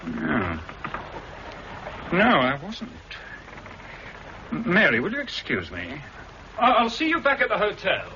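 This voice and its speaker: A man's voice: high-pitched, huge pitch swings, measured pace, pauses often, sharp tone, heavily acted. Guarded, polite engineer man. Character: Bill, guarded male nautical engineer. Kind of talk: 1960s radio drama acting. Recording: dull lo-fi archive radio recording, faint hiss.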